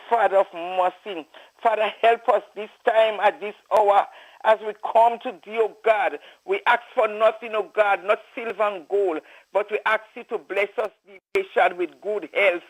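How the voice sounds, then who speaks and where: A man's voice: high-pitched, poor audio. A man leading a fervent spoken prayer, calling out "oh God, Father" between his phrases. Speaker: prayer leader.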